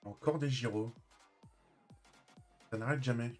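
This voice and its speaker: A man's voice: mid-range voice, a little slow, soft background music. A man casually commenting on his roleplay game as he plays, often muttering to himself. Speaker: streamer playing a roleplay game. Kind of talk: casual gameplay commentary and in-character chat.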